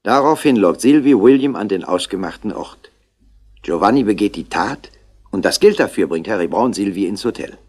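An actor playing an eager subordinate police inspector, reporting briskly and factually in a 1960s radio play. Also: male voice, on the low side, animated, darkish tone. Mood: eager, speculating.

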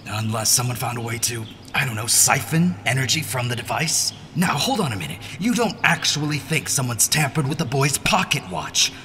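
A man's voice: mid-range voice, big pitch swings, normal speed, pushed and bright, a little hiss or hum. An actor playing an anxious, skeptical man in a fantasy audio drama, questioning and agitated in manner. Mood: disbelieving. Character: anxious, skeptical male companion monitoring dream connections.

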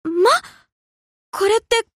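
A woman's voice: very high-pitched, slow pace, fairly bright tone, dry recording, studio quality, exaggerated voice. A woman playing an easygoing, slangy young navy destroyer girl in an anime game, dutiful but fond of rest. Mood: surprised, touched. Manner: casual slangy girlish speech. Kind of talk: Japanese anime game character voice lines.

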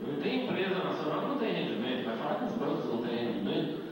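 A man's voice: a little high, big pitch swings, very fast, noisy background. A middle-aged man with a Brazilian Portuguese accent giving a lecture on a handheld mic, explaining step by step and tagging rhetorical questions. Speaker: invited speaker. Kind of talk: lecture at a debate event. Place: indoor meeting hall. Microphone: handheld mic, filmed by a far camera. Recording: echoey hall audio from far off.

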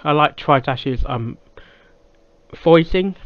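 A man giving casual reaction commentary as a video host, in a halting way that repeats phrases. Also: a little high. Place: small cluttered room indoors. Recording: slightly dull, dry sound.

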